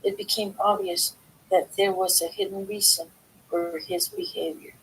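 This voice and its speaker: A woman reading a book aloud informally, in a halting way, sometimes stumbling over words and correcting herself. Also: mid-range voice, fairly even intonation, slight background noise, low-quality recording. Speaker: reader of a book aloud, video host. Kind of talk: informal read-aloud of a self-help philosophy book.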